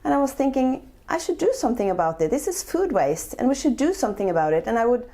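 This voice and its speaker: A woman's voice: on the high side, big pitch swings, fast talker, dark tone. A woman in her forties from Norway, speaking English with a Norwegian accent, answering interview questions with frequent hesitations. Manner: hesitant, many filler sounds. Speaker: guest, chef and entrepreneur.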